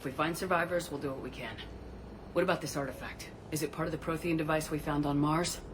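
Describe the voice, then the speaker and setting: A man playing a resolute, compassionate military commander in a video game, speaking in a terse, serious, steady way. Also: on the high side, fast, close to an everyday voice. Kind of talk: video game cutscene acting. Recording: clear ambient noise behind voice.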